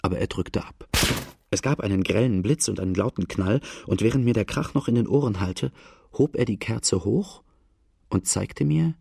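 Knarzig erzählender Bandit, tief. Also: male voice, fairly low pitch, normal speed, dark tone. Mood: reflective. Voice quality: very creaky, gravelly. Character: outlaw recalling his boyhood, wry, earthy storyteller. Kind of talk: first-person radio drama narration.

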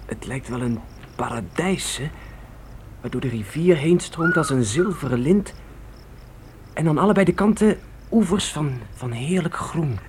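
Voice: medium pitch, lively intonation, unhurried, long pauses, a little mellow, a little hiss or hum, close to an everyday voice. An actor in a Dutch radio play voicing an eager boy on a pioneer trek, exclaiming in wonder. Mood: awed. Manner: awed, exclaiming.

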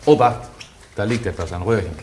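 An actor in a radio play playing a calm, helpful traveller who takes charge in a measured, matter-of-fact way. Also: male voice, fairly low pitch, slight background noise, close to an everyday voice.

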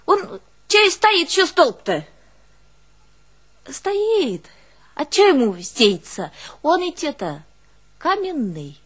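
A woman's voice: high voice, slow, pauses often, full character voice. An actress playing an artless, emotional peasant woman with a northern Russian rural dialect, telling her life in a theatrical folk monologue. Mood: artless recollection. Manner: folksy, expressive, exclaiming and musing aloud. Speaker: stage actress in a one-woman play.

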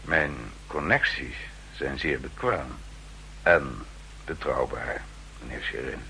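An actor playing a formal, politely insistent manservant who is a coolly ruthless spy accomplice in a 1960s radio play. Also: male voice, rumbling low, slow pace, pushed and bright, full character voice. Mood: pointedly insistent. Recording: 1960s radio recording, faint hum and noise.

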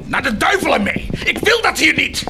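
An actor playing an impatient, fervent nobleman in a radio drama, commanding and insistent, shifting abruptly between soft and forceful. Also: extremely high pitch, sing-song, moderate pace, vocal fry, noisy background, full character voice. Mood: angry, commanding.

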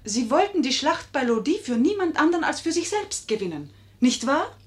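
Wily elegant lady, somewhat high voice, pleading, flattering, emotive, drawn-out stresses, 1950s radio play acting. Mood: awed, flattering. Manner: theatrical, emotive, dramatically drawn-out emphasis.

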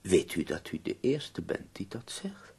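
A gravelly, ailing old-world poet. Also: male voice, low-pitched, huge pitch swings, measured pace, darkish tone, full character voice. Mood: courteous, wry. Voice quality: husky, gravelly. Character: ailing, nearly blind poet, tender and wry. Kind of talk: Dutch radio play acting.

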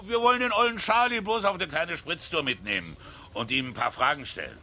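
Harsh, raspy, sneering bully. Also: male voice, on the high side, expressive, brisk, bright, forceful tone. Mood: aggressive. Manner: threatening, coarse, taunting. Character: brutish, sneering small-town bully, spoiling for a fight. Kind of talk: German radio drama acting.

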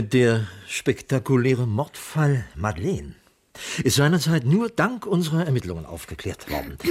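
An actor playing a boastful, flippant small-town private detective with self-important, wry patter in a radio crime play. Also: male voice, mid-range voice, a little mellow, husky, exaggerated voice. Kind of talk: 1980s German radio crime play acting.

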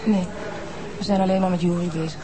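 A woman's voice: on the low side, fairly even intonation, brisk, noisy background, lightly acted. An actress playing a worried young mother who talks anxiously, in a Dutch TV drama.

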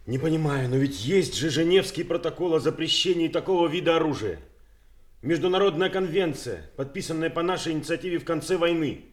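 A man's voice: fairly high pitch, expressive, a little fast, lightly acted. A man playing an earnest, troubled, experienced war correspondent in a Soviet radio play. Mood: troubled, insistent.

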